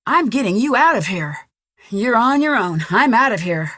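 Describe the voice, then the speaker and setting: A woman's voice: a little high, very animated, moderate pace, fairly bright tone, dry recording, clean studio sound. An actress playing a young female amateur detective in a video game, speaking scripted dialogue in an earnest, polite, questioning way. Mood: concerned, matter-of-fact.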